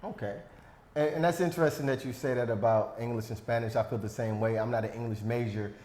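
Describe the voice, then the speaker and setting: A man's voice: medium pitch, fast talker, a little mellow. A man hosting a podcast, chatting casually in an easygoing way with joking, self-deprecating asides. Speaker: podcast host. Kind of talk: casual interview chat. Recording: echoey room.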